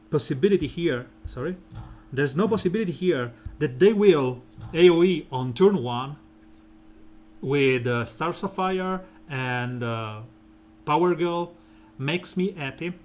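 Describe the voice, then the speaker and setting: A man streaming a mobile game, talking through team strategy in a relaxed, rambling way with lots of fillers and a soft, smooth voice. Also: slight background noise. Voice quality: soft, smooth and deep when slow. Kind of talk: casual gameplay commentary.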